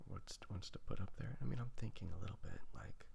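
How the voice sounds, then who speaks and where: A man softly rambling in a casual ASMR style, half-whispering in a hesitant, halting, self-deprecating way. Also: low voice. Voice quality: hushed, breathy, half-whispered. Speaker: artist hosting a drawing ASMR video. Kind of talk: soft-spoken ASMR, casual rambling while drawing. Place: sitting on the ground while drawing. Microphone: phone recording. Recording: faint background noise with clicking.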